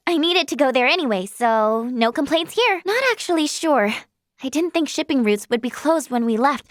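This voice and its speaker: Young female game healer, high-pitched, girlish, earnest, plucky, polite, expressive, dry studio sound. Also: dry recording. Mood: plucky, brisk. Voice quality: girlish, youthful. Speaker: video game voice actress. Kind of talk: scripted game character dialogue.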